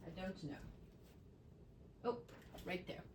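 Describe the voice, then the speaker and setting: A woman with a lingering raspy voice talking casually through a scrapbooking tutorial at home, with chatty, apologetic asides while she works. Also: lowish voice, takes it slowly. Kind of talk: casual crafting tutorial talk. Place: home craft room. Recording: faint room noise from fan. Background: fan running.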